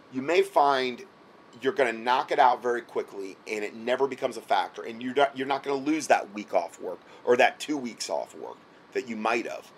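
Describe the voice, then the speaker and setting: A hoarse man talks the listener through instructions in a loose, rambling way, stopping often to check that he is being followed. His voice sits at a middle pitch and is a little worn from a cold. A faint hiss runs under the recording. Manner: repeats himself, frequent "okay?" check-ins. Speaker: health product seller giving advice. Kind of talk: casual instructional talk. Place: indoors, late at night.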